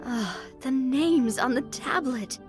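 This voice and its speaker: A woman voicing a bright, curious young adventurer girl in a video game, eager and deliberate in manner. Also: high voice, over music. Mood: thoughtful.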